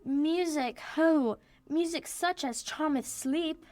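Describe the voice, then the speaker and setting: A woman's voice: high voice, slow pace, a little mellow, dry recording. A young woman playing a regal, doting fairy queen, reciting lyrical Shakespearean verse in a radio play. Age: teens or young adult.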